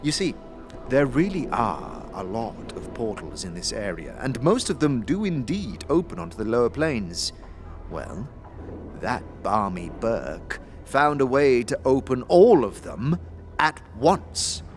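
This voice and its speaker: A man voicing a mischievous, worldly fixer in an audio drama, telling old lore in a wry, measured way. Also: mid-range voice, sing-song, soft background music. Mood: knowing, wry. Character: Sebastian, mischievous worldly male fixer, knowing lore-teller.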